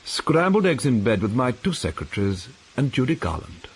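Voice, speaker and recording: An actor playing a witty, unflappable cabaret playwright in a radio drama, with an arch, dry, urbane manner. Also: male voice, medium pitch, very animated, normal speed, slightly rough. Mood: cool resolve. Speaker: lead actor in a radio play. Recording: faint background noise.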